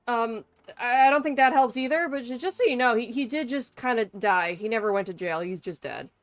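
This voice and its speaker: A woman's voice: fairly high pitch, a little fast. A woman livestreaming a game, giving casual, joking commentary with flippant, dry humour. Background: game music.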